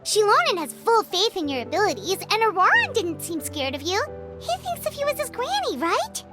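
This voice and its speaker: Tiny childlike game sidekick, squeaky, extremely high, wildly animated intonation, eager, earnest, innocent. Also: female voice, normal speed, heavily acted. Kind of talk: video game voice acting. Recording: loud music behind the voice.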